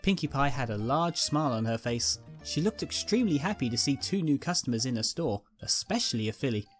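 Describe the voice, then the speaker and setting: A man's voice: medium pitch, expressive, a little fast, soft background music. A man narrating a scripted fan fiction story.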